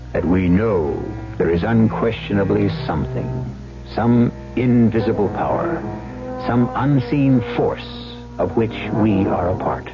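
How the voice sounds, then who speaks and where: Man, dramatic radio narration, deliberate, ominous weight, muffled recording, music behind. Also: lowish voice, creaky. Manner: portentous, deliberate. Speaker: host narrator. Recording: dull lo-fi vintage radio recording.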